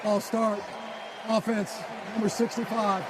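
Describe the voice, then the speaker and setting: A man's voice: high voice, level tone, unhurried. A man refereeing football, making terse, official penalty announcements over a stadium PA system. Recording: distant stadium PA under loud noise.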